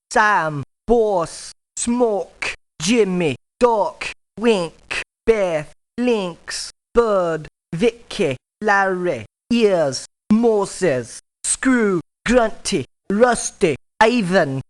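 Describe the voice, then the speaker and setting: A female game mercenary doing a roll call. She has a mid-range voice and speaks in clipped single words with very long gaps between them. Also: medium pitch. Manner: clipped, one word at a time. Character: female mercenary calling out squadmates' names.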